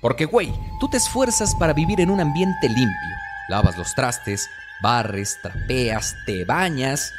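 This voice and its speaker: A man's voice: medium pitch, big pitch swings, unhurried, few pauses. Mexican man hosting over prominent music. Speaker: comedy podcast host. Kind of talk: conversational humorous monologue with slang.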